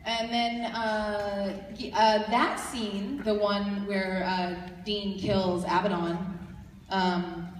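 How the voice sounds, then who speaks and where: Low-voiced woman rambling thru a panel answer, echoey big hall, mic kinda far off. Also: flat delivery, drawn-out words, a little hiss or hum. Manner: rambling, many fillers and restarts. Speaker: convention panel guest. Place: large convention hall.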